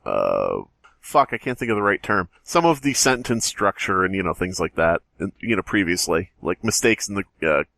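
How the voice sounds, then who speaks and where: A man's voice: deep voice, very animated, normal speed, creaky, pushed and bright, no echo. A man co-hosting a podcast, bantering casually and reading stories aloud with a joking, sweary manner. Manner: profane, joking. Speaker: podcast co-host.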